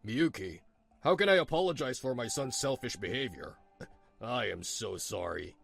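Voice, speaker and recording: A man's voice: medium pitch, expressive, normal speed, gravelly, a bit bright, soft background music. A man voicing an apologetic older father-in-law in a manga dub.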